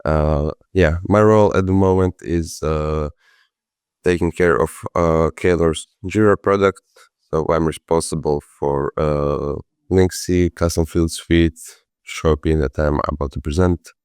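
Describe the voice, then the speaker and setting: Man from Croatia, very deep voice, smooth resonant tone, dry close sound, relaxed. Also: unhurried, no room sound. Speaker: product manager. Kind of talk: casual presentation with fillers.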